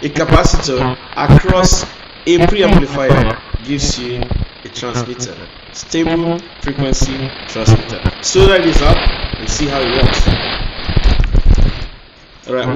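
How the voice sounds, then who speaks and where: A man's voice: medium pitch, a little slow. Man explaining electronics in an echoey room. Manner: explains step by step with frequent restarts and fillers. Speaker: electronics channel host. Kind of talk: casual hands-on tutorial. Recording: echoey room, mic at a distance, noisy.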